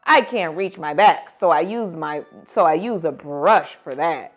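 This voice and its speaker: A woman reading picture books aloud to children as host, with expressive, dramatic emphasis. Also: low voice, measured pace, faint music behind. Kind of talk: animated storybook read-aloud for children.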